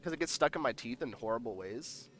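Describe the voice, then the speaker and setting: A man's voice: mid-range voice, lively intonation, brisk, a bit bright, a little hiss or hum. A man hosting a livestream, chatting casually and rambling while reading the chat aloud, congested and nasal. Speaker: livestream host.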